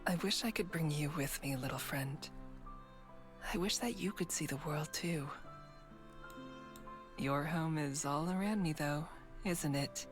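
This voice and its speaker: A gentle traveler in a visual novel, speaking softly and wistfully. The voice sits deep and low, with quiet music underneath. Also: female voice, measured pace, long pauses.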